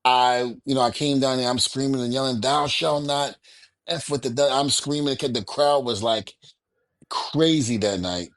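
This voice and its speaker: A man with a mid-range voice tells stories in an easy, animated way, laughing often. The sound is close and dry, with no room echo. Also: mid-range voice, measured pace. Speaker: podcast co-host. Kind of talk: casual chat.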